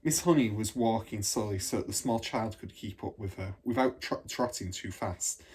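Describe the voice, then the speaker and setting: A man reading a children's story aloud as expressive scripted narration. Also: lowish voice, moderate pace, husky. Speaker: storybook reader.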